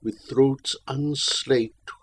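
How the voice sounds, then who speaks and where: A man's voice: medium pitch, fairly even intonation, drawn-out words, slightly rough. A man reciting narrative poetry in a theatrical, solemn way, drawing out his phrases. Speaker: poetry reader.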